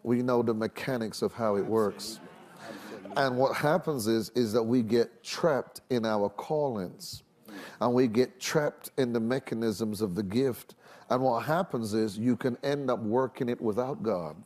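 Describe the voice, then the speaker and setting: Man, middle-aged, medium pitch, minister, emphatic teaching, repeated phrases, TV studio panel. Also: measured pace, darkish tone. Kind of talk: preaching-style panel talk on a religious TV show. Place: large TV studio set with audience.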